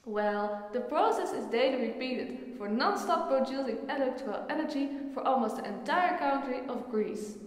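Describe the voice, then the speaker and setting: A woman's voice: high-pitched, animated, moderate pace, few pauses. A woman playing a knowledgeable, earnest friend who patiently explains things in a scripted educational film dialogue. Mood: informative. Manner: explanatory, instructive. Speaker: character in a student educational film. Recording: very echoey hall-like reverberant room.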